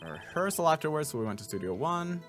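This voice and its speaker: A man voicing a curt, irritable courtroom witness in a video game, dismissive and unhurried. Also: a little high, big pitch swings, faint music behind. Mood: curt, weary.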